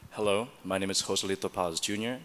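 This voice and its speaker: Young male panelist, low-pitched and rapid, casual delivery. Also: fairly even intonation, a bit bright. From New Jersey, United States. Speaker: panel participant, recent graduate. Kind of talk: casual roundtable talk. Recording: reverberant room with faint ambient noise.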